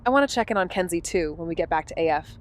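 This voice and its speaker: An actress playing a blunt, teasing young amateur sleuth in an audio drama, casual and insistent in a mid-range voice. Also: female voice, on the low side, expressive, fast talker, a little hiss or hum, close to an everyday voice. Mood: wry. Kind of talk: scripted audio drama dialogue and narration.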